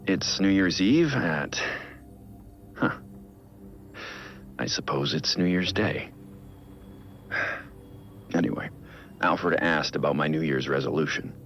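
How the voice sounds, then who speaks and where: An actor playing a brooding billionaire vigilante, recording a measured, reflective personal audio log in a game. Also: male voice, lively intonation, dull audio, soft background music, exaggerated voice. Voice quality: low gravelly voice. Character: brooding billionaire vigilante, man in his prime.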